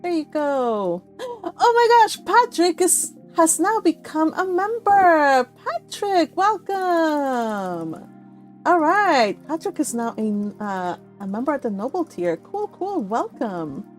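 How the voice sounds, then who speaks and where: A woman's voice: high voice, big pitch swings, slow. A woman hosting a casual livestream, reading and answering chat in an effusive, affectionate way with her viewers. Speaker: live stream host reading and answering chat. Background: music.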